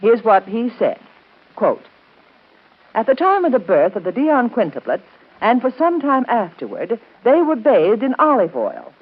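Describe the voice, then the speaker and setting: A thin, band-limited vintage broadcast carries a woman as commercial spokesperson. She reads in scripted 1930s advertising style, emphatic and persuasive, with a very lively rise and fall to her voice. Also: fairly high pitch, a little slow, husky, narrow, tinny audio. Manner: emphatic, persuasive direct address.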